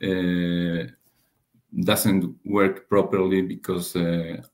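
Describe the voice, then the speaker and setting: A man giving a technical slide presentation as a webinar speaker, halting and hesitant, often pausing and rephrasing. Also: deep voice, level tone, darkish tone. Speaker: webinar presenter, radiation dosimetry researcher.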